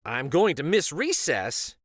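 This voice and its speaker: Earnest young storybook schoolkid, played by a male narrator: bright, excitable, swooping melody. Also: on the high side, takes it slowly, dry recording, studio quality, exaggerated voice.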